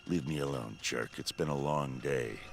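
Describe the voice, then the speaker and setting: Low, grumbling weary miner. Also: male voice, normal speed, gravelly. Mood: weary, annoyed. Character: weary, irritable miner in a sci-fi game.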